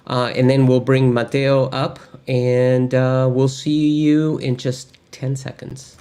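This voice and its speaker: A man's voice: medium pitch, level tone, slow, warm tone, slight background noise. A man hosting a live online tech lesson, chatting casually with lots of filler words. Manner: chatty, friendly, many fillers. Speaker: course host. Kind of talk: casual livestream lesson intro.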